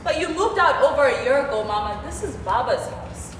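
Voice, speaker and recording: A woman's voice: sits high, expressive, a little fast, a bit bright. A young woman playing a concerned, incredulous grown daughter in a stage play. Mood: worried, incredulous. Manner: questioning, incredulous. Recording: distant, reverberant, noisy theatre recording.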